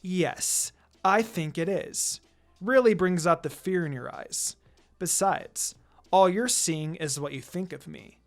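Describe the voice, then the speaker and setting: A reader voicing a looming, menacing teenage girl ninja with slow, teasing delivery in a story reading. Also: medium pitch, vocal fry, soft background music. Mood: taunting.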